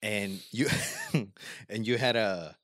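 A man in casual chat, telling a long story in a halting, rambling way with slang and many fillers. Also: on the low side, fairly bright tone, dry recording, clean studio sound.

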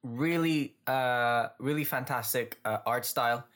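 This is a man co-hosting a podcast and settling into a relaxed chat. His voice sits at a middling pitch for a man, and he rambles through his stories with plenty of fillers and laughter. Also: measured pace. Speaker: podcast co-host. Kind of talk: casual chat.

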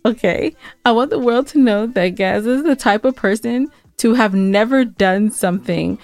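A woman's voice: a little high, normal speed, vocal fry, darkish tone, no room sound, soft background music. A woman co-hosting a podcast in casual banter, teasing her partner and prodding with questions. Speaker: podcast co-host.

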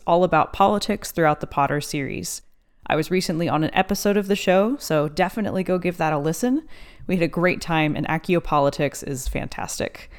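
A woman hosts a podcast with a slightly low voice. She introduces her guest and asks questions in a friendly, encouraging way. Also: clear, resonant tone. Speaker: podcast host. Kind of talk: podcast hosting and interviewing.

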